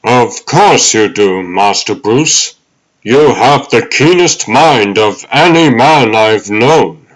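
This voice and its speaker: Casting: male actor as wise old manservant, mid-pitched, unhurried, formal, fatherly. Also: lively intonation, low-quality recording. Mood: calm counsel. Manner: formal, measured, kindly. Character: wise, gentle ghostly old butler, fatherly. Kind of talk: comic dub acting.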